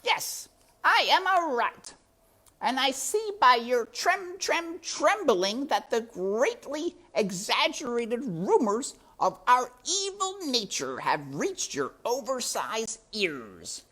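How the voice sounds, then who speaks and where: A woman's voice: fairly high pitch, very animated, pushed and bright, pitched above the performer's own voice, heavily acted. A woman voicing a sly, scheming male rat villain who mocks in a silky, theatrical way. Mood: sly mocking. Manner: silky, mocking, drawn-out and theatrical.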